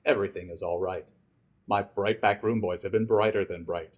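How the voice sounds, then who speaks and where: A man's voice: low voice, steady tone, moderate pace, pitched below the performer's own voice. A man voicing a pompous, reassuring chief who grandly gives orders in a children's storybook. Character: pompous, reassuring male chief sending a soldier to war. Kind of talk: children's storybook read aloud.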